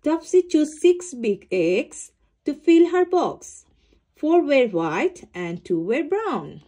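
Brief: woman storybook reader, unhurried, very lively melody, slight stumbles. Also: high voice. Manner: slow, sing-song storytelling with small slips and restarts. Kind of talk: reading a picture book aloud to children.

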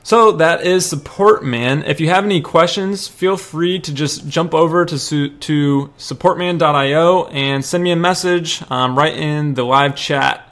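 A young man with a somewhat high-pitched voice walks through a screen-recorded demo. His delivery is informal and conversational, like someone casually showing how a tool works from his computer. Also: unhurried, few pauses, a bit bright. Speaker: product demo presenter.

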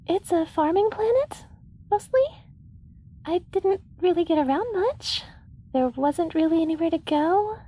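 A woman's voice: very high voice, mellow. A woman playing a shy young alien farm girl, timid, hesitant and apologetic, in an audio roleplay.

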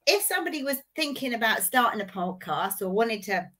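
Chatty woman in her 50s on a video call, bright highish voice, rambly. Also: big pitch swings, brisk. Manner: chatty, rambling, self-deprecating. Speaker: podcast host. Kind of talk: casual interview chat. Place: home office with bookshelves.

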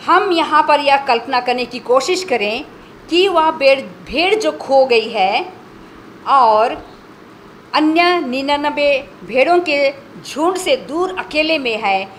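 Middle-aged woman, high voice, halting sermon delivery at a pulpit microphone with some background noise. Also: animated, poor audio. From India. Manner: halting, deliberate, repeats phrases. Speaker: church lay preacher. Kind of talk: sermon, partly read from notes. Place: indoors at a church pulpit. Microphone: gooseneck podium microphone.

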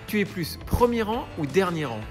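Off camera guy asking this-or-that questions, bouncy lively voice, faint music. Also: high-pitched. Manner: brisk, playful questioning. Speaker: interviewer host. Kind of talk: reading quick-fire questions.

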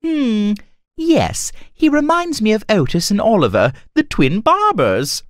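Children's cartoon: chatty, flattering young traveling man, very animated intonation, unhurried. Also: mid-range voice, dry recording, studio quality. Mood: pleased, musing. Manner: theatrical, courteous. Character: cheerful, polite young male traveling storyteller, chatty and flattering. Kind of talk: animated children's story acting.

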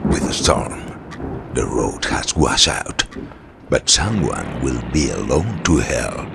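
An actor playing a sinister, courteous storyteller host in an old-time radio horror play, with a theatrical, ingratiating manner. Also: male voice, rumbling low, sing-song, takes it slowly, vocal fry, full character voice. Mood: eerily welcoming. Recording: loud storm noise behind voice. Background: thunderstorm.

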